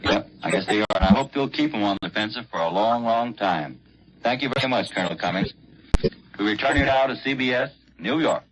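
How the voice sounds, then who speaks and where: A man's voice: fairly low pitch, lively intonation, fairly quick. An American man conducting a chatty 1940s radio interview in a folksy, conversational way. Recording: muffled, slightly noisy 1940s radio.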